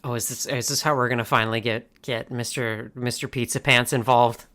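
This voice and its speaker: A podcast co-host chatting casually about fandom, amused and quick to react. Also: mid-range voice, fairly even intonation, sharp tone. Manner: amused, reactive, laughs readily.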